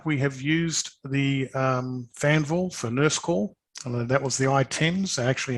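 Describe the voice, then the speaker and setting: Man presenting products, halting and informal. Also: mid-range voice. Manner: hesitant, halting, often repeats words. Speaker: sales presenter.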